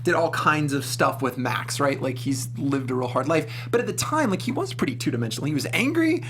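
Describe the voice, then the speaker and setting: Very fast-talking man in casual chat. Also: a little high, sing-song, fairly bright tone. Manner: informal, slangy, checks agreement with "right?". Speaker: talk show co-host. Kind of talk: casual film review chat. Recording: noisy room with electrical hum.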